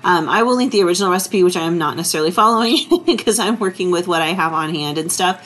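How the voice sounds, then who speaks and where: Woman, thirties, lowish pitch, chatty, filler-heavy, faint background noise. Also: quick pace. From Texas. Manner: chatty, relaxed, full of fillers. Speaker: vlogger. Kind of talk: casual kitchen vlog narration.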